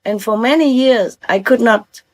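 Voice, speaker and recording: A woman's voice: on the high side, moderate pace, darkish tone. An older woman from Vietnam with a Vietnamese accent, giving an earnest, informal talk as a spiritual teacher and often checking that her listeners follow.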